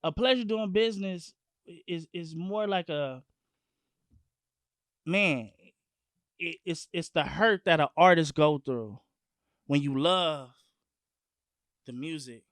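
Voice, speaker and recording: A man from Long Beach, California, a guest music artist talking in an animated, profane, emphatic way in a casual sit-down interview. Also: a little high, takes it slowly, long pauses, dry recording.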